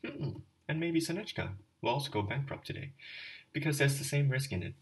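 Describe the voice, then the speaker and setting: A man's voice: mid-range voice, lively intonation, a little fast, voice pushed up, natural voice. A bitter, brooding young man muttering caustically to himself, as a man reads him aloud. His tone is dry and sardonic. The voice is a little distant from the mic. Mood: caustic.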